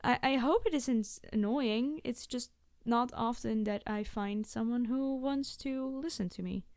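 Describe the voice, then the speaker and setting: Woman acting a high-voiced, awkward, chatty young biologist. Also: measured pace, a little mellow, no echo, studio quality. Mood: chatty, enthusiastic. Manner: gushing, rambling, hesitant and flustered. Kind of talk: roleplay audio addressed to the listener.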